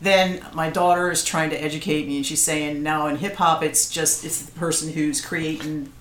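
A woman's voice: low voice, fairly even intonation, moderate pace, fairly bright tone, a little hiss or hum. A middle-aged woman hosting a casual interview from her dining room, chatty and self-deprecating. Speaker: podcast host, interviewer. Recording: echoey room.

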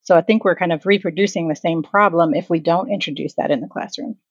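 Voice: medium pitch, fast talker, slightly rough, dry recording, highs cut off. A panelist on a podcast, reasoning aloud in a thoughtful way. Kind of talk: podcast discussion.

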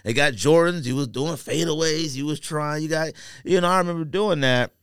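A man hosting a casual sports-style chat in slangy, opinionated, animated talk. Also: a little high, fairly quick, smooth, dry recording, studio quality. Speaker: podcast host. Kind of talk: casual sneaker and basketball chat.

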